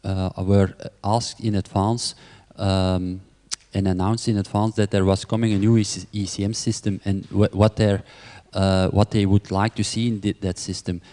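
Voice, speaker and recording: A low-pitched man speaks hesitantly on a conference panel, stopping often for uhs and ums. His voice is dark and relaxed. The reverb of a large hall trails behind it. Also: a little slow. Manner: hesitant, heavy with fillers. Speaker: panelist, project manager.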